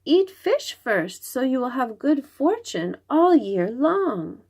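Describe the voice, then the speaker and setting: A woman's voice: high-pitched, sing-song, takes it slowly, a little mellow, slightly rough, voice pushed up, heavily acted. A woman voicing a clever, bold little girl in a children's storybook, calling out commands. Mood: bold, urging. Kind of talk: children's storybook read-aloud.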